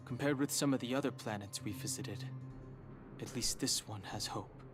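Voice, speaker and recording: A man's voice: mid-range voice, moderate pace, loud music behind the voice, natural voice. Man acting a sober, reserved young man. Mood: serious, calm.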